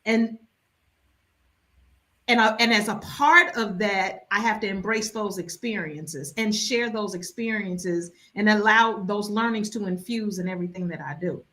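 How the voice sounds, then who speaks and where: Animated bright woman educator. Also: mid-range voice, a little slow. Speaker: education consultant, former teacher. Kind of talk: casual panel conversation.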